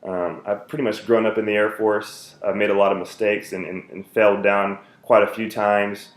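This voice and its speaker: A man's voice: on the low side, flat delivery, normal speed, reverberant. A man in his thirties, from the United States, giving an earnest self-introduction speech for a class, with many fillers and restarts.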